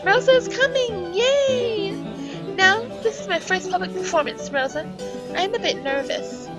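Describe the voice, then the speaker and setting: A woman voicing a bubbly, naive little noble girl in a visual novel, excitable and childlike. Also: extremely high pitch, a little slow, loud music behind the voice, voice pushed up. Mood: nervous.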